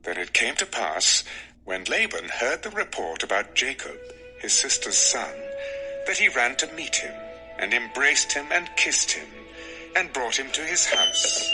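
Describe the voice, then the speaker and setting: A man's voice: mid-range voice, wildly swooping pitch, measured pace, over music, low-quality recording. A man narrating scripture from a script in a dramatic storytelling style.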